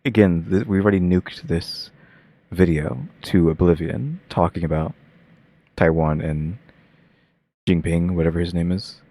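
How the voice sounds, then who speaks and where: A man's voice: low voice, fairly even intonation, unhurried, pauses often, warm tone. A man hosting a reaction video, talking casually and rambling with many filler words. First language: English. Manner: rambling, heavy use of filler "like".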